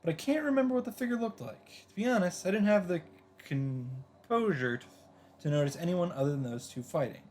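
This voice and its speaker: A man's voice: high voice, expressive, a little fast, a little mellow, a little hiss or hum. A man reading visual novel narration aloud as a let's player, dropping in casual, wry asides. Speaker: video game let's player. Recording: music behind the voice.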